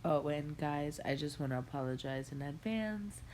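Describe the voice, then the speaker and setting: A young woman with a stuffy nose from a cold, chatting relaxed to viewers as she walks through a makeup tutorial at home. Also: low voice, normal speed, a little hiss or hum. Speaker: beauty vlogger. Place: home room with a Christmas tree.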